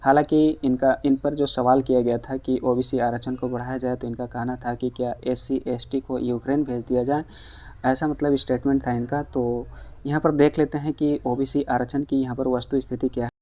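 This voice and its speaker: A news presenter talks through updates in an explanatory way at a medium pitch. The delivery is direct, addressing listeners as friends. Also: level tone, normal speed, warm tone. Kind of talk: explanatory news commentary for online viewers.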